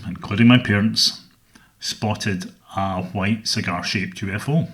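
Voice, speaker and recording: A middle-aged Scottish man hosting a solo podcast, speaking in a measured, earnest way about his interests. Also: lowish voice, a bit bright. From Scotland. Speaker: podcast host.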